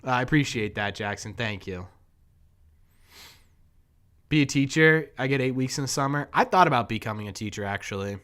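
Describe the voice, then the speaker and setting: Hoarse man with a New England accent. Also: mid-range voice, lively intonation, moderate pace, long pauses, fairly bright tone. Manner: rambling, chuckling, thinking aloud. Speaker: sports podcast host. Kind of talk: casual live-stream chat answering viewers.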